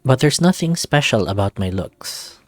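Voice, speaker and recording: A man's voice: medium pitch, very animated, moderate pace, dry recording. A man reading a visual novel aloud as narrator. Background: music.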